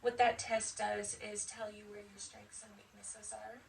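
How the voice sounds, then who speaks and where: American woman, fifties, unhurried halting storyteller, chatty, slightly distant mic, faint noise. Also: medium pitch, level tone, gravelly. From United States, Nevada and Michigan. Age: 50s. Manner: chatty, often chuckling, halting. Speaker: interviewee, military veteran. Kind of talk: casual personal storytelling interview.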